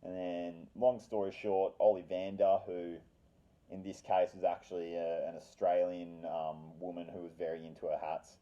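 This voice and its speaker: Male storyteller, low-pitched, casual and rambling, slangy and sweary, leaning on repetition for dramatic effect. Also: little pitch movement, normal speed, dark tone, muffled recording.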